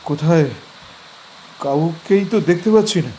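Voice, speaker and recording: A man acting a cautious, worried young man in an audio horror drama, asking measured, uneasy questions. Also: a little high, warm tone, a little hiss or hum, natural voice, poor audio. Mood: puzzled.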